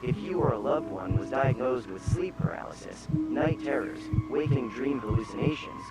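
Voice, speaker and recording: Casting: male actor as American legal-commercial announcer, average register, formal, measured scripted read. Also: mid-range voice, animated, over loud music, natural voice. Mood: formal, serious.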